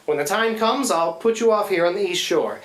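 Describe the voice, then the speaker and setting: A man's voice: high-pitched, animated, a little fast. A man playing a scrappy, stubborn but devoted riverboat engine man in a radio play, with folksy, ungrammatical speech. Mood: confident. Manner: folksy, ungrammatical, hesitant when tender. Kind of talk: old-time radio play acting. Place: live theatre stage. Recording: roomy live stage sound.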